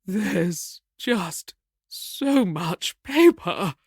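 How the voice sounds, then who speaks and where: A woman's voice: medium pitch, expressive, drawn-out words, darkish tone, slightly rough, no room sound, clean studio sound, exaggerated voice. An actress playing a fussy, orderly queen who speaks in a prim, indignant, exclamatory way in a comedy audio drama. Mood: appalled.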